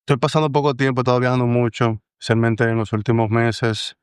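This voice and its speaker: A man in his thirties with a Dominican accent, giving measured, explanatory answers as a guest on an interview podcast, pausing often with "eh". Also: medium pitch, fairly even intonation, smooth, no echo, studio quality. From Dominican Republic. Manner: measured, explanatory, frequent "eh" pauses. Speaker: guest, AI governance expert.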